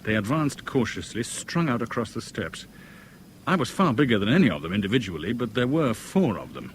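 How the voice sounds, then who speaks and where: Male actor as sardonic big tough hero, mid-pitched, lively intonation. Vintage radio thriller, faint noise. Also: brisk, lightly acted. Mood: tense, atmospheric. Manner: sardonic, vivid, expressive. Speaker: actor and narrator in a radio drama. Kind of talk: 1970s radio drama, narration and dialogue. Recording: old radio drama recording, faint noise.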